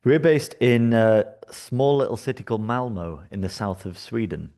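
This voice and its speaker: A man from the UK with a British accent, presenting a live software workshop in a relaxed, chatty way, with many fillers and restarts. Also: mid-range voice, moderate pace. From United Kingdom.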